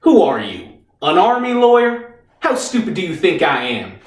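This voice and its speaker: A man's voice: sits high, wildly swooping pitch, normal speed. A man playing a defiant, unstable, bitter young army private from Texas, cocky and agitated, in a stage monologue. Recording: echoey room, distant microphone.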